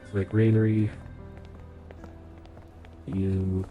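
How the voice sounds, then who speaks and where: A man's voice: deep voice, flat delivery, very slow, narrow, tinny audio, faint music behind. A young man streaming a game playthrough, thinking aloud as he plays, sounding nasal because he is sick. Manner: chatty, thinks aloud while playing. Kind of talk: live game playthrough commentary.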